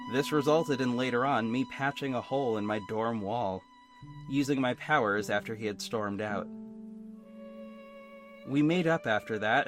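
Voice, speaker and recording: A man's voice: medium pitch, lightly acted. A man plays a young superhero narrating an audio drama, confiding and a little sardonic. He broods frankly over his own story, with music underneath. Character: young adult male superhero narrator, hurt, introspective, sardonic. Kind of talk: first-person audio drama narration with dialogue. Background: instrumental music.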